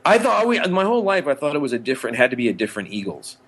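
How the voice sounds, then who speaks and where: A man's voice: mid-range voice, very animated, rapid-fire, a bit bright, breathy edge. A man co-hosting a podcast in casual chat, rambling enthusiastically and often correcting himself mid-thought. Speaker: podcast co-host.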